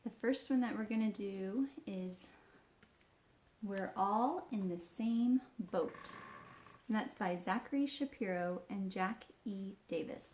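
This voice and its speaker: A woman reading a children's picture book aloud as an informal, expressive storyteller who stretches key words for young listeners. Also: mid-range voice, slow, a little hiss or hum, rough recording. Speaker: read-along storyteller.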